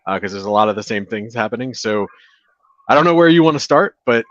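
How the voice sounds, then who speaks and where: A man hosting a casual video-call interview from a beach house, relaxed and chatty with filler words. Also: fairly low pitch, fast talker, no room sound. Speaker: show host. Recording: dry, dull lo-fi audio.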